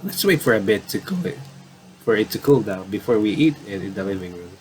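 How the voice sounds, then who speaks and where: Male let's player, lowish voice, animated intonation, casual and rambling, reads on-screen text aloud, faint background noise. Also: a little fast, poor audio. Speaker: gamer reading a visual novel aloud with commentary. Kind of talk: casual let's play commentary mixed with reading game text aloud.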